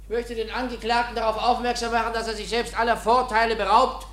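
An actor playing an imperious, sardonic examining judge in a German radio drama, curt and condescending. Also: male voice, extremely high pitch, little pitch movement, fast, a bit bright. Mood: stern.

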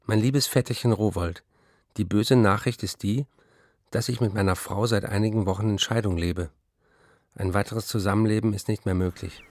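An actor playing an embittered middle-aged writer, reading diary entries and letters in an articulate, literary way. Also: male voice, fairly low pitch, steady tone, normal speed, a little mellow, no room sound, lightly acted. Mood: bitter.

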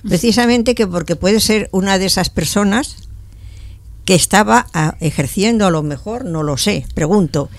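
A woman hosts a radio studio conversation, nudging guests along with hesitant, chatty prompts. Her voice has a gravelly fry at a middle pitch. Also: normal speed, no room sound. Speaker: radio host.

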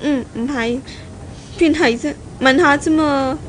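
An actress playing a proud, poised young graduate and fiancée who questions and asserts herself in a 1970s Cantonese radio drama. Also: female voice, high voice, unhurried, slight background noise. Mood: probing, defensive. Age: young adult.

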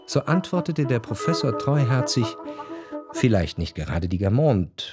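A man narrating literary prose for a radio drama in measured, deliberate phrases. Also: mid-range voice, big pitch swings. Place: radio studio. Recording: dry studio with music behind.

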